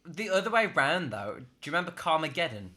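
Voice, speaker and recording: A man's voice: fairly high pitch, big pitch swings, brisk, pushed and bright. A man chatting casually as a podcast host, enthusiastic, informal and opinionated. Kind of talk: casual film chat among friends.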